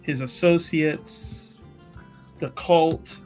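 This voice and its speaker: Higher-pitched man, a tabletop roleplayer. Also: steady tone, very slow, a little mellow, soft background music. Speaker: player in a tabletop roleplaying game. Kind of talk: casual improvised in-character recap and questions.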